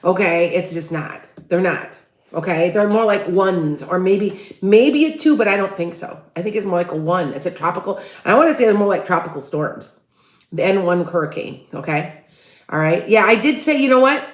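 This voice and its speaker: A woman's voice: fairly low pitch, lively intonation, moderate pace, echoey room. A woman with a Chicago accent, hosting a casual livestream and talking in loose, rambling sentences full of restarts. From Midwest US. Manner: rambling, many restarts, asks viewers for tips. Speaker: psychic livestream host.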